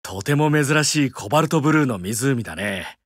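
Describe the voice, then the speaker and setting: An actor playing a friendly, earnest muscular adventurer in an anime mobile game, gentle and upbeat in manner. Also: male voice, medium pitch, lively intonation, normal speed, no echo, studio quality, close to an everyday voice. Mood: cheerful, keen.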